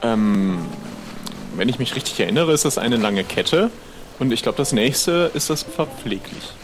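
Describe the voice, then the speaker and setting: A man's voice: mid-range voice, animated, measured pace, music in the background. A man playing along in an improvised pirate audio drama, casual and thinking aloud. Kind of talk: casual improvised role-play dialogue.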